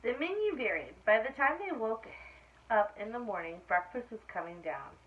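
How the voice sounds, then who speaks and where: Woman doing kids storytime, expressive read-aloud with little asides, kinda muffled audio, bit of background noise. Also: fairly high pitch, moderate pace. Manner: expressive, asks listeners questions. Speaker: storytime reader. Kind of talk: read-aloud of a children's picture book with asides to listeners. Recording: muffled lo-fi sound with faint noise.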